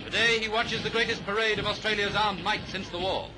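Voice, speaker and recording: A British man narrating a 1930s newsreel in a brisk, declamatory, jaunty announcer's manner. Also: sits high, fairly even intonation, noisy background. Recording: vintage 1930s newsreel soundtrack, music underneath. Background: music.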